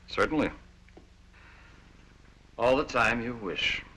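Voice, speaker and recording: An actor playing a cool, controlling spy recruiter in a training film drama, curt and menacing. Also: male voice, medium pitch, animated, slow, pushed and bright, soft background music, heavily acted, low-quality recording. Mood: cool menace.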